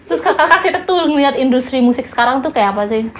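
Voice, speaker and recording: A woman's voice: high-pitched, animated, fast. A young woman with a Jakarta Indonesian accent, hosting an interview as an informal, chatty talk. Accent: Indonesian, Jakarta colloquial. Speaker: interview host. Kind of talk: casual chat. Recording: very loud crowd noise behind.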